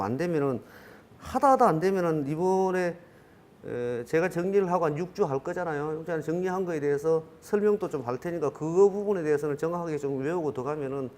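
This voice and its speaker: A man's voice: on the high side, darkish tone. A man in his fifties with a regional Korean dialect, giving a classroom lecture in a rambling, self-correcting way with many fillers. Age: 50s.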